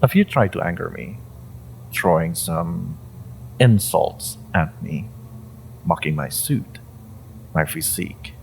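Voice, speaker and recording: A man playing a suspicious, coldly patient agency interrogator in a dramatic audio roleplay, speaking deliberately and sardonically with pointed pauses. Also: low-pitched, big pitch swings, creaky, darkish tone, a little hiss or hum, exaggerated voice, rough recording. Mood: patient, disdainful.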